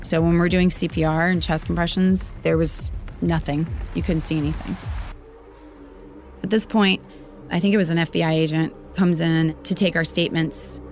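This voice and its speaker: A woman's voice: fairly low pitch, flat delivery, normal speed, a little mellow, faint music behind. A woman, a nurse, recounting a frightening event in an interview, telling it vividly with quoted dialogue. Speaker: nurse and witness.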